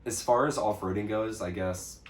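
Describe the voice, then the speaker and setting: A man's voice: lowish voice, moderate pace. A young American man chatting casually as he answers questions for an off-road vlog, easygoing and a bit hesitant. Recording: roomy echo with some background noise.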